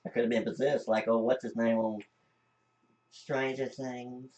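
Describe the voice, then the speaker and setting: A man's voice: mid-range voice, little pitch movement, measured pace, a little mellow, recorded from a distance. A man chatting casually and joking bluntly as a co-host. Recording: roomy, away from the mic.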